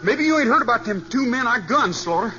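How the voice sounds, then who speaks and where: An American actor playing a cocky young cowhand with a Western drawl and a defiant manner, in a 1950s radio western. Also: male voice, very high-pitched, animated, brisk. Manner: defiant, boastful. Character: cocky, hot-headed young cowhand boy with a gun. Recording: dull, hissy 1950s radio broadcast.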